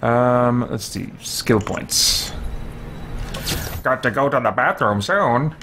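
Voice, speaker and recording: A man's voice: mid-range voice, slow pace, pushed and bright, a little hiss or hum. A man streaming a video game, giving casual, easygoing commentary and muttering mild exclamations to himself. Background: video game sound effects.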